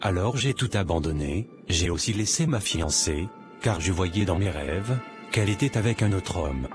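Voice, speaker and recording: Man as a sincere young cartoon hero, very deep voice, clear music behind. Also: animated, normal speed. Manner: earnest, sincere. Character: earnest young man, a lawyer. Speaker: narrator and protagonist.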